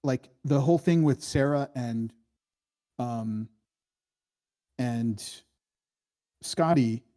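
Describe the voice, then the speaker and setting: A man's voice: medium pitch, drawn-out words, warm tone. A man giving casual spoken feedback on a screenplay, rambling and hedging, with many false starts as he thinks aloud. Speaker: screenwriting workshop critic giving script notes.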